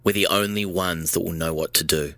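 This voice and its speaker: Tense, low-voiced fidgety man played by an actor. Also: steady tone, a little fast, sharp tone, no echo. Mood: urgent, nervous. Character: fidgety, guilt-ridden man plotting to undo his damage. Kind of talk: audio drama acting.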